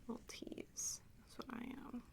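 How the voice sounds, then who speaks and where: A woman rambling softly and in whispers as an ASMR host, with a cutesy, coaxing, playfully teasing manner. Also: a little slow. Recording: faint background noise.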